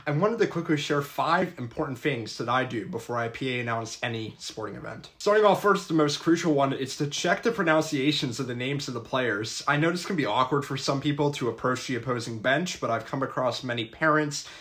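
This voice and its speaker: A man's voice: medium pitch, lively intonation, fairly quick, hardly any pauses, fairly bright tone. A young man, a college student and sports announcer, giving earnest, methodical advice in a scripted vlog. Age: young adult. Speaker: public address announcer.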